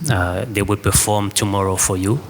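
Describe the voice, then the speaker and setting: A man with a French accent speaking halting, hesitant English as a guest choreographer at an informal public talk. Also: on the low side, flat delivery. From France. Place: indoor public hall with audience. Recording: echoey hall, faint background noise.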